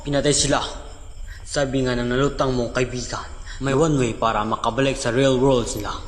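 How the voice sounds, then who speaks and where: A man's voice: mid-range voice, steady tone, a little fast, big-room sound, close to an everyday voice. A man voicing a grave male leader. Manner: grave, explaining. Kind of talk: Tagalog fan dub acting.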